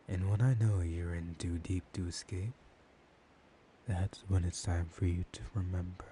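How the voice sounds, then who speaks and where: A man playing a dominant, menacing, seductive vampire in a soft-spoken ASMR roleplay, speaking in a hushed, deliberate way that slips into whispers. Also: sits low. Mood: hypnotic, commanding. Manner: slow, hushed, lapsing into breathy whispers, deliberate pauses. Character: dominant, menacing, seductive male vampire hypnotizing his prey. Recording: audible background hiss.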